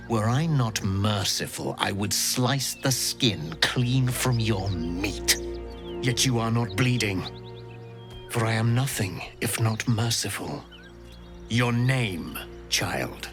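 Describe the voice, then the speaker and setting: Slow, imperious alien knight commander. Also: male voice, on the low side, expressive, music in the background. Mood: silkily menacing. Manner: imperious, slow, deliberate, threatening. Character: haughty menacing male alien knight commander. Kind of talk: dramatic video game acting.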